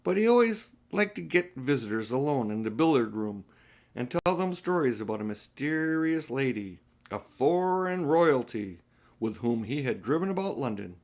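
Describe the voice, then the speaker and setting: Older male reader for a children's audiobook, medium pitch, read-aloud storytelling with the odd restart. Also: very animated, normal speed.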